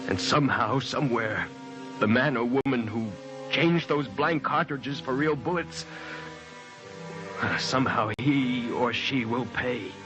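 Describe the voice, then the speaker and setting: A man acts out a condemned prisoner from an old radio play, resigned and bitter. He speaks at a middle pitch with drained, deliberate delivery, and loud music runs behind him. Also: close to an everyday voice. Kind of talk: mid-century radio drama acting.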